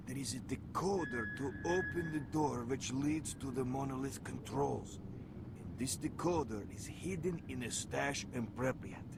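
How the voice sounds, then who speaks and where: An actor playing an urgent, loyal comrade in a video game, talking in an earnest, insistent, gravelly voice. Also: male voice, noisy background, lightly acted. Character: urgent, loyal male comrade briefing his friend. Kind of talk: video game cutscene acting. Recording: loud rumbling ambience behind the voice.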